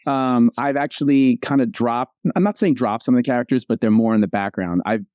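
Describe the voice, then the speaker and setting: Relaxed, dry-sounding man chatting casually. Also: mid-range voice, a little fast, no echo, lo-fi sound. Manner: rambling, self-correcting. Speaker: comic strip cartoonist, interview guest. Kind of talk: casual interview chat.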